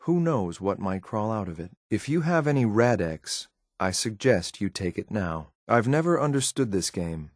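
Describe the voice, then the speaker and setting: A low, close and dry male voice for a video game synth enforcer. He speaks in clipped, controlled phrases, coolly watchful and faintly disdainful of what is around him. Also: big pitch swings, normal speed, hardly any pauses, no echo. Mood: cool, wary. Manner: clipped, matter-of-fact, controlled, faintly condescending. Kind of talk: video game companion voice lines.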